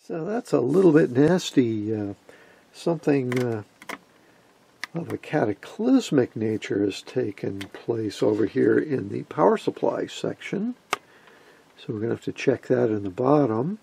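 A man giving a casual, colloquial technical explanation as host of a repair video, talking haltingly. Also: mid-range voice, sing-song, creaky, dark tone, slight background noise.

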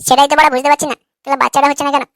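A man acts out an irritable young cartoon husband with a high, artificially raised voice. He is crude and combative, firing words out very fast in a sharp, bright tone. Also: little pitch movement, dry recording, clean studio sound. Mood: irritated. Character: irritable, foul-mouthed, quarrelsome young husband. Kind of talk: comic cartoon dialogue.

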